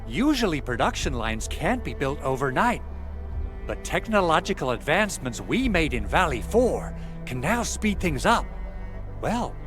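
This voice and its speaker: Animated, higher-voiced male industrial planner. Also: a little slow. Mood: confident, explanatory. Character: confident male industrial planner briefing his team. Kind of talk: scripted game dialogue, explanatory. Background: game music.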